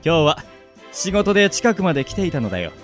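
A man's voice: a little high, big pitch swings, fast, faint music behind. A man playing a pompous, self-adoring legendary love evangelist, grandiose and theatrical, in a comic radio drama. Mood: smug.

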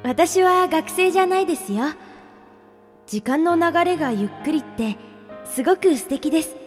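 A woman acting a gentle, courteous young pen pal in a radio drama, playfully secretive and softly teasing. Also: very high-pitched, animated, moderate pace. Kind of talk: radio drama dialogue, reading letters aloud. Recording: long reverb, music behind.

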